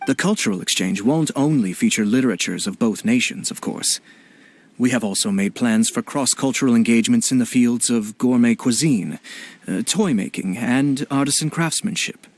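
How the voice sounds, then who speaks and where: A man voicing a courteous, composed young nobleman in a video game, formal and measured. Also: medium pitch, dry recording, close to an everyday voice. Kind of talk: video game character acting.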